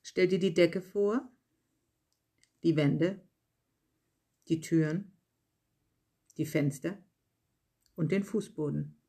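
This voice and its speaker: A yoga nidra guide reading a scripted relaxation in calm, measured short phrases with pauses. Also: mid-range voice, husky. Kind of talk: slow guided relaxation meditation, read from a script.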